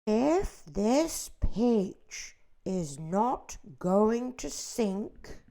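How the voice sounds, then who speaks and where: A woman voicing a gloomy, complaining blind male earthworm who draws out his words slowly and sounds doomed. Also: mid-range voice, very animated, creaky, mellow, pitched above the performer's own voice, exaggerated voice. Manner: slow, drawn-out and doom-laden.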